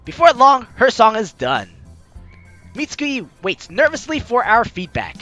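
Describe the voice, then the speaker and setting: A playful, slangy gaming host reading a visual novel aloud and reacting in an animated way. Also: medium pitch, unhurried. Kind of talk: lively let's play, reading aloud and reacting. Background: game music.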